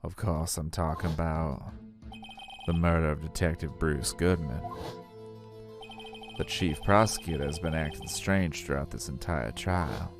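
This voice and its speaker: A man voicing an earnest young defense attorney who talks in a steady, serious, accusing way, in a fan dub of a game. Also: very deep voice, unhurried, over music.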